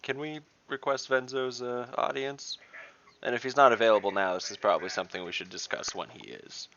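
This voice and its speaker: A man's voice: medium pitch, fairly even intonation, a little fast, gravelly, a bit bright, lo-fi sound, a little hiss or hum. A man chatting casually in character as a player in an online tabletop game, hesitant and thinking aloud. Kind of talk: casual in-game role-play chat. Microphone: online voice call.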